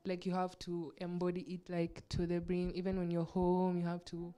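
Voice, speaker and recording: A woman's voice: on the low side, completely flat delivery, moderate pace, mellow, clean tone. A young Kenyan woman with a Kenyan accent, an actress chatting frankly as a guest and laughing often. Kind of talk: casual interview chat.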